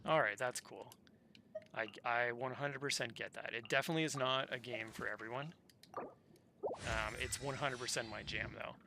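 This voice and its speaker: A man's voice: medium pitch, normal speed, creaky, noisy background. A man livestreaming a game, chatting casually in an easygoing, slangy, conversational way. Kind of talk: casual chat while gaming. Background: game music.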